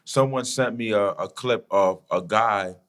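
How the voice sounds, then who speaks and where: A man hosting a casual podcast conversation, halting and full of fillers as he raises a topic. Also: fairly low pitch, a bit bright.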